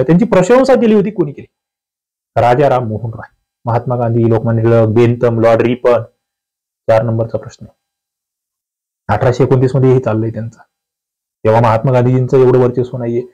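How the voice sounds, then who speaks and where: Mellow man teaching exam questions. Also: medium pitch, rough recording. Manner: conversational, puts rhetorical questions to students. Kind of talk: quiz lesson, reading questions aloud and explaining answers.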